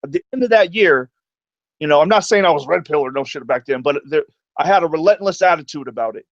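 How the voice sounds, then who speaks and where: Give me a blunt male stream host with a slightly high voice on dull, dry audio. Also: expressive, fast, no echo. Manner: profane, blunt, stuttering off the cuff. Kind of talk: casual chat.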